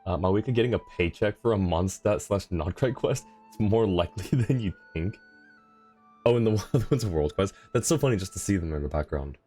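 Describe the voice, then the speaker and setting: A man with a deep voice chats casually as a game streamer. He comments on the game and talks to viewers, with faint game music in the background. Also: expressive, fairly quick, mellow. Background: video game music.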